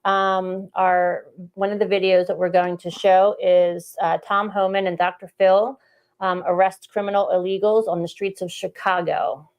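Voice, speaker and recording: A woman hosting a political podcast, giving casual commentary in a halting, deliberate way. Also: medium pitch, flat delivery, lo-fi sound.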